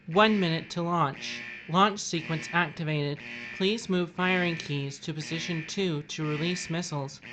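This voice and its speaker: A woman's voice: low voice, a little slow, hardly any pauses, over music, rough recording. A woman playing a formal, impassive automated missile launch announcer in an audio drama.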